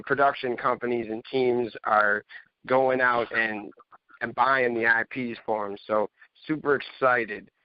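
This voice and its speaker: A man's voice: medium pitch, smooth, no echo. A man hosting a casual panel chat, speaking informally and chummily with his co-hosts. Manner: informal, addresses co-hosts as buddy and bro. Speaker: podcast host. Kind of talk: casual panel chat about games and movies. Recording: slightly dull, lo-fi audio.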